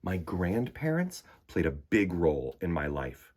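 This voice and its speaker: A man's voice: low-pitched, big pitch swings, unhurried, a little mellow, a little hiss or hum. A man reading a children's picture book aloud expressively, with dramatic delivery and conversational asides. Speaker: storytime host reading aloud.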